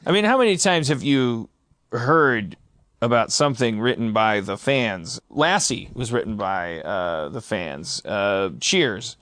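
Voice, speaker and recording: A man's voice: on the low side, animated, unhurried, a bit bright. A man hosting a comedy podcast in a loose, rambling, stammering, often profane style. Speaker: podcast host.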